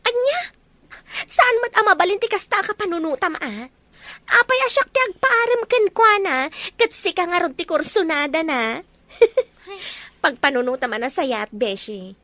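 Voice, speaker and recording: A woman playing a lively young matchmaking best friend in an Ilocano romance drama, teasing and coaxing. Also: very high-pitched, moderate pace, no echo, exaggerated voice.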